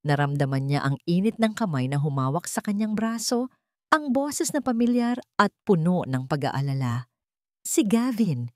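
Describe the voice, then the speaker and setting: A woman storyteller narrating a scripted romantic drama in deliberate, dramatic short phrases. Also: lowish voice, sing-song, no echo, studio quality.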